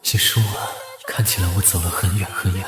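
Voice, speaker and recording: A very deep-voiced, gentle husband played by an actor speaks in a hushed, regretful way. His protective words echo over music. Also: level tone, fairly quick, lightly acted. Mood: sorrowful regret. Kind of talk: slow dramatic narration in animated costume drama. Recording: echoey, music underneath.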